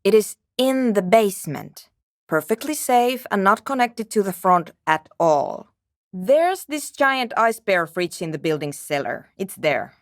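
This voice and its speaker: A woman's voice: medium pitch, very animated, a little slow, no echo. An actress playing a curt, skeptical, world-weary woman programmer in a video game, speaking in a brusque, dry, dismissive way. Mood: dry, guarded. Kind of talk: video game character dialogue.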